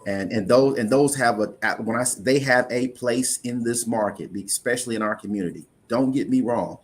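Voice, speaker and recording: A man's voice: medium pitch, a little fast, a little hiss or hum. Male interview guest, mid-range voice, earnest and explanatory, restarts phrases. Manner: earnest, explanatory, repeats words when restarting. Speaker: insurance investment guest.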